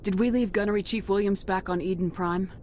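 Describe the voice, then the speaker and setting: An actress playing a tough, dutiful military commander in a video game, with a terse, controlled delivery. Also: female voice, medium pitch, fairly even intonation, a little fast, a little mellow, a little hiss or hum, close to an everyday voice. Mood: firm, sober. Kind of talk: cinematic video game acting.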